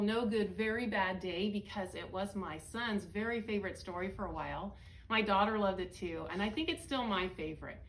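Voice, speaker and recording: A woman's voice: medium pitch, a bit bright, low-quality recording. A middle-aged American woman, a school principal, reading a storybook aloud expressively for children. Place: indoors, small library room with bookshelves. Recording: faint background room noise.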